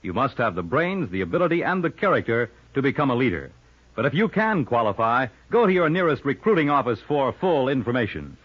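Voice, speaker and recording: A man's voice: mid-range voice, very animated, brisk, breathy edge. A man reading a scripted 1950s radio announcement in an earnest, emphatic manner, on a muffled old recording.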